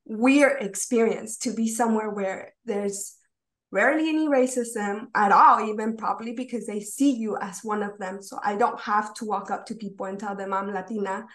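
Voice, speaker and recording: A woman's voice: medium pitch, moderate pace. A young woman who grew up in the United States, speaking as a panelist on a video call in an earnest, heartfelt manner. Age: young adult. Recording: roomy video-call audio.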